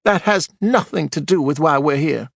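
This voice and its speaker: A man's voice: normal speed, vocal fry, fairly bright tone, no room sound, clean studio sound. A man voicing an indignant, haughty older widow testifying on a witness stand. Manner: shrill, imperious, snappish.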